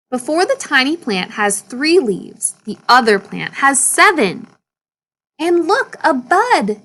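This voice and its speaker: A woman with a fairly high voice reads a picture book aloud. She takes her time, going very slowly through short sentences. Her delivery is expressive storytelling aimed at young children. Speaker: story reader.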